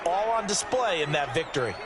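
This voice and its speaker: A man's voice: fairly high pitch, sing-song, a little fast, crowd noise, background noise. A man with an American accent playing an opinionated color analyst in a football video game, explaining plays conversationally. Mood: engaged.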